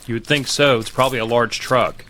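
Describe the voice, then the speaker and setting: A man's voice: mid-range voice, steady tone, fairly quick, pushed and bright, close to an everyday voice. A man playing an authoritative army major giving clipped orders in an audio drama, over faint ambient noise. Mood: commanding. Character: commanding army major directing a search.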